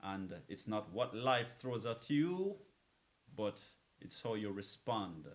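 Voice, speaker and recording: A man's voice: on the low side, very animated, takes it slowly. A man hosting a music show as a DJ, talking in a laid-back, philosophical and encouraging conversational way between songs. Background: music playing under the voice.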